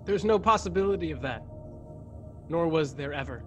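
A young man playing a resolute, self-sacrificing starship ensign in a science-fiction radio play, speaking formally and with measured phrasing. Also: fairly high pitch, animated, muffled recording, faint music behind, natural voice. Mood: resigned. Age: young adult. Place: indoors at home, video call. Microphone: desk condenser microphone, close.

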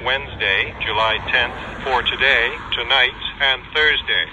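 A man's automated voice reading forecasts in measured, clipped phrases over a radio. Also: mid-range voice, fairly even intonation, muffled recording. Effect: radio transmission. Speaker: weather radio announcer. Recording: noisy handheld radio speaker outdoors. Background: static and outdoor noise.

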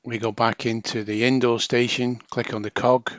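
A man's voice: medium pitch, little pitch movement, normal speed, no room sound, muffled recording. A man narrating a hands-on technical tutorial as a trainer, explaining informally step by step.

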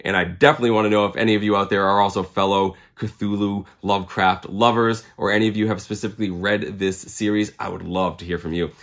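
Man, forties, fairly low pitch, mildly hoarse with a cold, casual enthusiastic vlog host, conversational. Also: steady tone, fast, few pauses, a bit bright. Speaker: comic collecting video host. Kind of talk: casual chat to camera. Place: small indoor room lined with comics.

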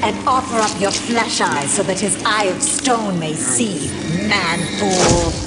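A woman's voice: mid-range voice, slow, lightly acted. A woman voicing a solemn pagan woodland spirit in a video game, chanting incantatory verse in odd archaic grammar. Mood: reverent, ominous. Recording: loud music behind the voice.

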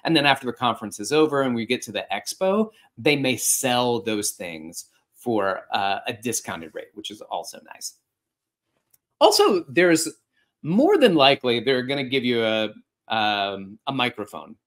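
A man in his forties hosting a fitness podcast from a home room, giving casual practical advice on a video-call style setup with lively gestures. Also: mid-range voice, a little slow. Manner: animated, gesturing, with frequent restarts. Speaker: podcast host and fitness instructor. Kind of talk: casual instructional talk. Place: home room with a couch and art.

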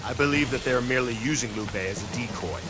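A man's voice: medium pitch, quick pace, close to an everyday voice. An actor playing a proud, bold middle-aged warlord and father in a video game, declamatory and commanding. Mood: stern. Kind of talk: dramatic English video game cutscene acting.

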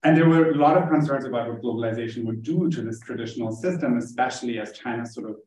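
A man's voice: mid-range voice, fast, a little mellow. Distant, roomy male academic lecturer from Germany. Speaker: academic researcher giving a seminar talk. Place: indoor seminar room, streamed over video call. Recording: roomy, distant mic in seminar room.

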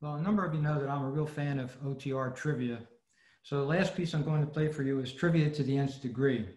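A man's voice: mid-range voice, fast, darkish tone. A man giving a scripted presentation as a club presenter on a video call. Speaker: presenter at an old-time radio club. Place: online video meeting. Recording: roomy, echoey video call.

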